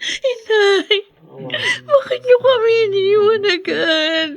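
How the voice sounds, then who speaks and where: A woman's voice: very high voice, expressive, slow, lo-fi sound, exaggerated voice. A woman with a Filipino accent playing a meek, grieving young daughter, tearful and pleading, in a radio drama.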